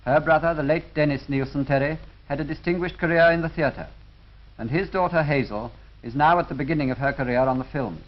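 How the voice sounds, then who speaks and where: Dull old-film British man, formal narrator. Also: a little high. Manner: formal, measured delivery. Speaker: documentary narrator. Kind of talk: scripted 1930s film narration. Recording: dull lo-fi 1930s film soundtrack.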